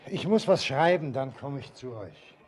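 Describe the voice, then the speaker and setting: A man's voice: medium pitch, animated, moderate pace, breathy edge. An actor playing a brooding, sentimental ageing father and mine master in a German radio play, declamatory and lyrical. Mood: moved, proud.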